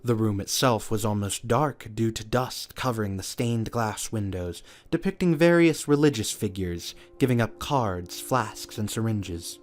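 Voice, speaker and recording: Male narrator, lower register, scripted sci-fi audio play, music underneath.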